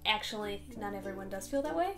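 A woman's voice: mid-range voice, expressive, quick pace, a bit bright, soft background music. A young woman in a casual, personal chat, telling stories animatedly on a couch indoors. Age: young adult. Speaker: vlog guest. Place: small room indoors, on a couch.

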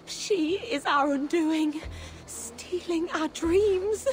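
A woman's voice: very high-pitched, drawn-out words, soft background music, heavily acted. An actress playing an exhausted, fearful village woman in a video game, with a pleading, tremulous delivery. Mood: haunted. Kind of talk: dramatic video game cutscene acting.

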